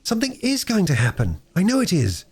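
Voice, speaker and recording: A man voicing an eager, warm-hearted young girl in a storybook reading, high and breathless. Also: wildly swooping pitch, a little fast, slightly rough, heavily acted. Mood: excited.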